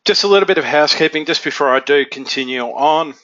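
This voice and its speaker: A man with a stuffy nose from a cold, presenting a software training webinar in a casual, filler-heavy way. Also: a little high, fast talker, dry recording. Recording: dull, lo-fi webinar audio.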